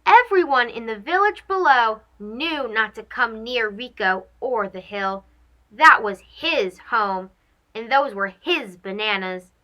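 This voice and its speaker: Woman, children's story host, high lively voice, slow pace, expressive narration. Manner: animated, addressing young children. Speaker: host of a children's read-aloud show.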